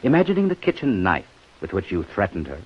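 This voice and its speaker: A man's voice: low voice, wildly swooping pitch, fast, full character voice. A man playing a shrewd, sardonic police inspector in a 1950s radio detective drama, with a dry, probing manner. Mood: sardonic, accusing. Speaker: radio actor. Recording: muffled old-time radio broadcast recording.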